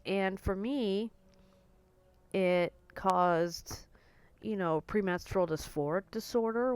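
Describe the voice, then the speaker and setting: A woman in her forties talking casually to camera in a blunt, outspoken way, her voice a little croaky and hoarse. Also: fairly low pitch, no echo. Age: 40s. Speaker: vlogger.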